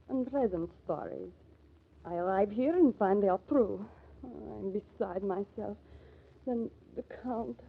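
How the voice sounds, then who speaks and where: An actress playing a distraught, formal countess in a 1950s radio melodrama, speaking haltingly. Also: female voice, medium pitch, slightly rough. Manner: halting, stilted formal English. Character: distraught, formal European countess, faint and grieving. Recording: tinny, narrow old radio recording.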